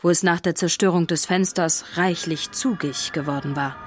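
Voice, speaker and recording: A woman's voice: lowish voice, normal speed, over loud music, close to an everyday voice. A woman narrating a children's audio drama as a scripted storyteller.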